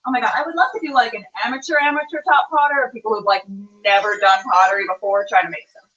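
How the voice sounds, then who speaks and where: A woman's voice: fairly high pitch, steady tone, quick pace, a bit bright. A woman hosting a casual, chatty cooking show, rambling playfully and talking to herself as she goes. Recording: echoey room, distant mic, dull sound.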